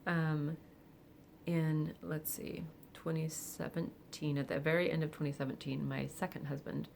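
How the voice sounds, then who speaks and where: A woman of forty speaking candidly and reflectively in a personal vlog, with soft laughs and hesitant fillers. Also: sits low, level tone, measured pace, gravelly, slight background noise. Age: 40s. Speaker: vlogger. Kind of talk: personal confessional monologue.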